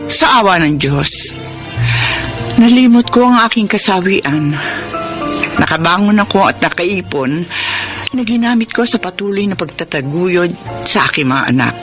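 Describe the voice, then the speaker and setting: A woman's voice: fairly low pitch, expressive, measured pace, pauses often. A woman playing a devoted, long-suffering Filipino mother in a radio drama. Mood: earnest. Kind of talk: radio drama acting with narration. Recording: loud music under the voice.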